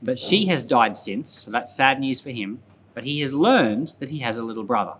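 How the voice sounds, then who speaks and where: A man's voice: medium pitch, lively intonation, moderate pace, a bit bright, slight background noise. A man speaking as a pastor in conversational Bible commentary, explaining things and often repeating and rephrasing points for emphasis. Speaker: pastor and Bible podcast host.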